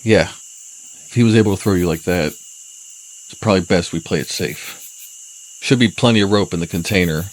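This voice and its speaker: A man's voice: deep voice, moderate pace, long pauses, lightly acted, poor audio. An actor playing a cautious, practical man in an audio drama thriller.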